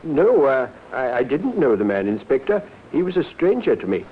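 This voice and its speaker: Nervous, eager witness played by a man for radio crime drama: halting delivery, narrow phone-like sound, clear hiss. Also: medium pitch, sing-song, close to an everyday voice. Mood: hesitant, recalling. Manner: hesitant, stammering, halting. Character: nervous, short male witness, hesitant and eager to help. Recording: muffled, noisy old radio drama recording.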